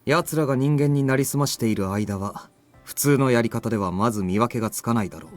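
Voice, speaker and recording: An actor playing a gruff, curt but caring young man in a visual novel. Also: mid-range voice, racing, faint music behind, natural voice. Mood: serious. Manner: blunt, curt. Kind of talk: Japanese visual novel voice acting.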